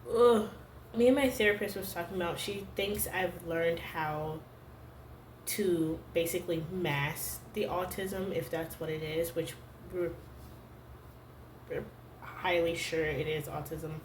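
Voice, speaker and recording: A young adult woman vlogging to camera at home, talking in a halting, searching way full of "like" fillers. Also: on the low side, fairly even intonation, a little hiss or hum. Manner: hesitant, halting, heavy use of "like" fillers. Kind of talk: personal confessional vlog. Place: room at home. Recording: roomy home echo.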